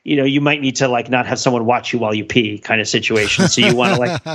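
A man's voice: medium pitch, fairly even intonation, quick pace, a bit bright. A man co-hosting a casual podcast discussion, rambling and interrupting himself as he thinks aloud. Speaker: podcast host.

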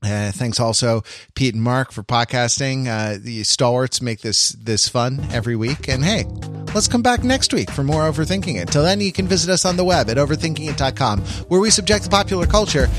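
A man's voice: mid-range voice, animated, a little fast, music in the background. A man hosting a podcast in a casual, conversational style, with a wry and slightly hesitant manner. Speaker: podcast host.

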